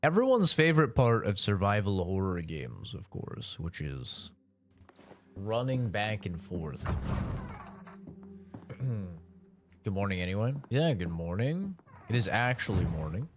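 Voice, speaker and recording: A man streaming a game, talking casually with a raspy, deeper-than-usual morning voice, rambling with lots of "like" and "man". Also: sing-song, slow pace, noisy background. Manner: rambling, filler-heavy, says "like" and "man" often. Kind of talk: casual gameplay livestream commentary.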